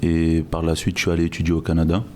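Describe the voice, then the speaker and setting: A man who grew up in Guinea, speaking as a guest in a podcast interview in a measured, reflective way with frequent restarts. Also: low-pitched, completely flat delivery, a little mellow. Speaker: guest, logistics director.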